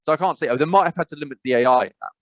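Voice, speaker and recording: A man's voice: fairly high pitch, racing, no room sound. English man, casual podcast chat, rambling, dull dry call audio. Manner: rambling, many restarts and fillers. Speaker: podcast co-host. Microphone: remote call mic.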